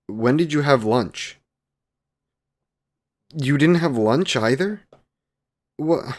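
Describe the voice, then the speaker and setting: A man's voice: medium pitch, a little mellow, lightly acted. A man playing a courtly, devoted, youthful vampire boyfriend in a romantic audio roleplay, with archaic, formal wording and tender endearments. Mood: concerned. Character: courtly, devoted 128-year-old male vampire boyfriend, youthful, doting.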